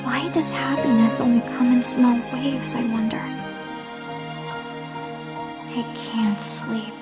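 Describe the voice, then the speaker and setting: A woman's voice: a little high, steady tone, slow pace, over loud music. A woman voicing an anxious, stubborn young girl in an emotional, earnest manga dub performance. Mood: brooding, worried. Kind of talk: anime-style manga dub acting.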